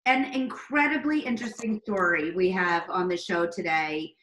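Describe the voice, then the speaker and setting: A woman's voice: normal speed, fairly bright tone, slight background noise. A woman hosting a talk show, interviewing a guest with effusive exclamations. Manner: effusive, exclaims with big pitch leaps. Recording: echoey room.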